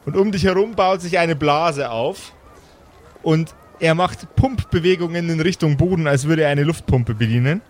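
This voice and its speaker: A man narrating an improvised pen and paper story as game master, theatrical and pausing for effect. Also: on the high side.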